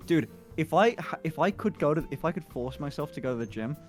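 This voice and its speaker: A man's voice: on the high side, lively intonation, fast talker, faint music behind. A man chatting casually as a stream guest, rambling, laughing a lot and swearing freely. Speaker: guest on a livestream call. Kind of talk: casual chat.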